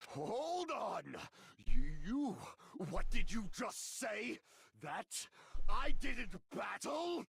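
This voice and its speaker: An actor playing a pompous, theatrical young self-styled dark overlord in an anime video game, declaiming grandly. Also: male voice, very high-pitched, very animated, extremely slow, gravelly, slightly rough, heavily acted. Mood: outraged. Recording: loud game music behind voice.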